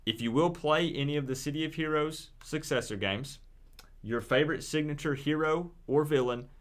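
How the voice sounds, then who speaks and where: A man's voice: on the high side, normal speed. A man hosting a casual live call-in stream, speaking informally and encouragingly straight to his viewers. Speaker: livestream host.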